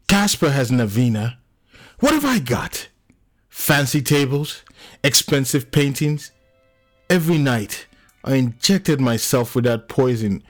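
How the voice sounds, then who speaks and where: A man's voice: fairly low pitch, darkish tone, no room sound, natural voice. A man voicing an anguished, volatile grieving man in a dramatic audiobook story. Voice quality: gruff when dejected. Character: anguished, volatile man in grief, quick to rage. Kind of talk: dramatic audiobook character acting.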